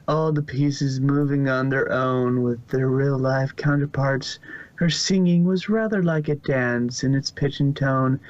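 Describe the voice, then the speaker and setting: A man's voice: mid-range voice, dry recording. Man narrating a story, slightly muffled. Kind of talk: amateur fan fiction story narration.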